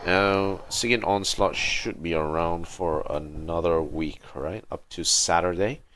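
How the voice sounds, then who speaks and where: A man from Malaysia giving low-key, muttered, casual commentary as he hosts a video game livestream. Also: measured pace, fairly bright tone. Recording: clearly noisy mic with clicks.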